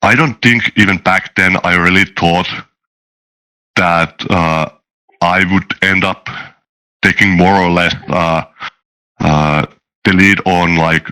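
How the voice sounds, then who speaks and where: A man chatting casually as a guest, halting and thoughtful, often repeating words as he goes. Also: sits low, bright, forceful tone, poor audio. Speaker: interview guest, tabletop game master. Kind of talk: casual chat.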